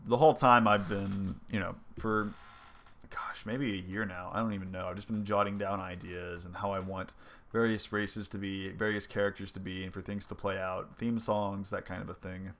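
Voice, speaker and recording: A young man with a somewhat low voice, stuffy and congested as if from a cold. He talks casually and loosely, thinking aloud with frequent ums and likes as he narrates his own gameplay footage. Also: flat delivery, normal speed, fairly bright tone. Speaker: indie game developer. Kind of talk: casual devlog commentary over gameplay.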